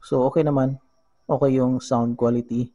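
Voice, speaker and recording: A man with a Filipino accent giving a casual how-to demonstration as a vlogger, halting as he searches for words and mixing two languages. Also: medium pitch, little pitch movement, warm tone. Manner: halting, searching for words, switches between Tagalog and English. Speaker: vlogger giving a gadget tutorial.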